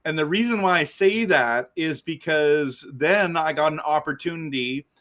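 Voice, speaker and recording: A man hosting a casual interview, talking in an excitable, rambling way with many restarts. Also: a little high, normal speed. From Canada. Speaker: host.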